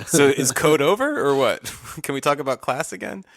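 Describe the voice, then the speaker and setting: A man's voice: medium pitch, animated, normal speed, creaky, a bit bright, no echo. A man hosting a podcast, chatting casually and sardonically with mock quotes. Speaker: podcast host. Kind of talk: casual joking chat.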